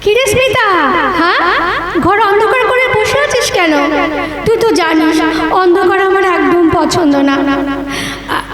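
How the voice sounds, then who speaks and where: A woman's voice: extremely high pitch, moderate pace, echoey room, over a noisy crowd. A woman acting an affectionate, scatterbrained younger sibling in a Bengali audio drama, speaking in an eager, coaxing, exclamatory way. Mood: affectionate, lively.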